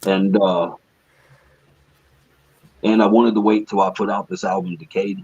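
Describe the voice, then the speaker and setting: A raspy male musician guest answering interview questions in a laid-back way, often saying "you know what I mean.". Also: low-pitched, little pitch movement, measured pace, a little mellow, lo-fi sound.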